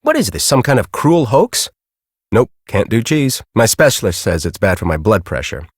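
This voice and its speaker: An actor playing a grumpy, bossy middle-aged storm-chasing professor in a video game, with an American accent and a brusque, sarcastic, exasperated manner. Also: male voice, on the low side, very animated, moderate pace, dry recording, clean studio sound. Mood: suspicious, sarcastic. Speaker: video game character, research team leader.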